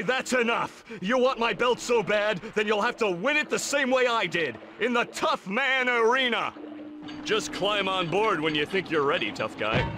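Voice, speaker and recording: A brawny, cocky cartoon lobster with a high, bright, forceful voice. He swaggers through surfer-dude slang with lively swings in pitch, as in a video game. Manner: swaggering, laid-back slang.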